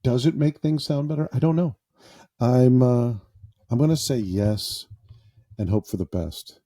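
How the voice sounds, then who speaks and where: A man's voice: mid-range voice, measured pace, dark tone. A man hosting a podcast, joking about himself in a loose, improvised monologue from a small foam-padded closet. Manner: self-deprecating and jokey. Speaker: podcast host.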